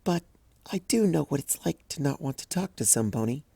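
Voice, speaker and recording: A fanfic reader voicing a timid, gentle young female pegasus pony, soft and hesitant, in a dry close recording. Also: mid-range voice, animated, brisk, vocal fry, slightly rough, no room sound, voice pushed up.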